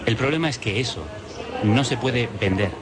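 A man's voice: mid-range voice, normal speed, heavy background noise, natural voice. An actor playing a patient, enigmatic man in a Spanish radio play, speaking with a Castilian accent. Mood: earnest, mysterious. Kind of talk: Spanish radio theatre acting. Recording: music behind the voice.

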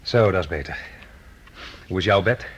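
An actor playing an amiable, inquisitive academic delegate in conversational radio play dialogue. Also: male voice, low-pitched, normal speed, lo-fi sound, slight background noise, close to an everyday voice. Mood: relaxed, curious.

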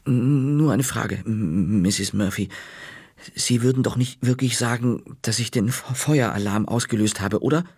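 A man's voice: mid-range voice, unhurried, a little mellow, breathy edge, dry recording, studio quality. A man voicing a nervous, eager schoolboy who stammers, in a read-aloud storybook. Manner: stammers, pleading then eager.

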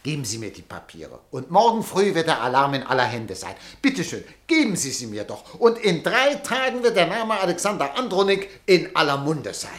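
A man's voice: on the high side, sing-song, normal speed, hardly any pauses, a bit bright. An actor playing a pushy, scheming male newspaper director who presses and persuades insistently, in an old German radio play.

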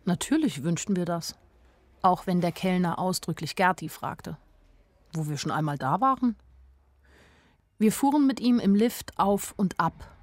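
An actress playing a naive, sharp-eyed young woman who tells her own story in a radio play, artless and plain-spoken. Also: on the low side, a little slow, long pauses, dry recording, close to an everyday voice. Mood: wry. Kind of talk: first-person radio play narration.

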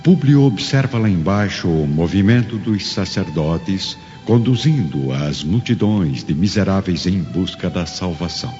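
A man narrates a radio drama in a deep voice with a Brazilian accent. His scripted, dramatic delivery rings with long reverb over soft music. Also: animated, unhurried, few pauses. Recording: hall reverb, dull audio, music underneath.

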